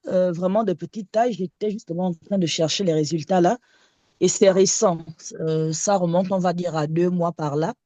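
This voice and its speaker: A woman in her late thirties speaks over an online call in a dark, mellow voice. She talks hesitantly and halts often with fillers. The sound is dry and close. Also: lowish voice, moderate pace, no echo. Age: 30s. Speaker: caller asking a question. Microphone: online video call.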